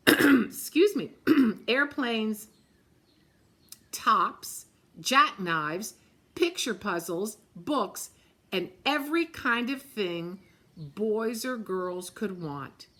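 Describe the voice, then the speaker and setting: A woman, a teacher, reading a picture book aloud at storytime, gently pointing out the pictures and often clearing her throat. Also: medium pitch. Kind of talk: storytime read-aloud to children.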